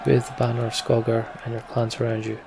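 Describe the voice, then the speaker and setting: A man's voice: medium pitch, completely flat delivery, fairly quick, vocal fry, crowd noise, noisy background. A man giving casual, muttered gameplay commentary and reading story text aloud, as a let's play host.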